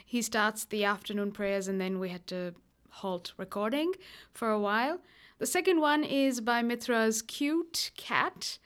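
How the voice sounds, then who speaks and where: A woman's voice: fairly high pitch, fairly bright tone, clear, resonant tone. A young woman hosting a podcast, introducing an episode in an earnest, chatty way with small fillers and restarts. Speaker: podcast host. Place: Melbourne.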